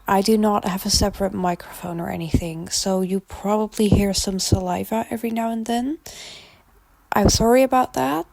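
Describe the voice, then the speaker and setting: Chatty Dutch-accented teen girl, gravelly. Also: medium pitch, fairly even intonation, normal speed, a little mellow, no echo. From Netherlands. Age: teens. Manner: rambling and chatty, with frequent ums. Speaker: gaming channel creator. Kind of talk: casual voice-over chat over a speed build. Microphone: phone held in hand.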